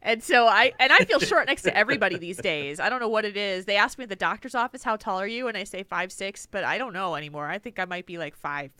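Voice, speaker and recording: Woman co-host, bubbly and giggly, bright tone, quick to do silly voices. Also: medium pitch. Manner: giggly, animated, slips into playful silly voices. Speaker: podcast co-host. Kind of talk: casual chat on a live podcast stream.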